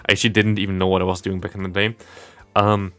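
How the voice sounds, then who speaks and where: A man's voice: sits low, little pitch movement, quick pace, fairly bright tone. A man from Germany with a German accent, casually commentating a game and chatting as a livestreamer in a rambling, self-deprecating way. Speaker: livestreamer and content creator. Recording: music playing behind the voice. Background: background game music.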